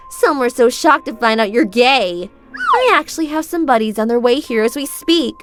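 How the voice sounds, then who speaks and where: A woman's voice: a little high, huge pitch swings, moderate pace. A woman voicing a mocking, spiteful teenage girl villain who sneers and taunts. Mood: taunting. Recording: music behind.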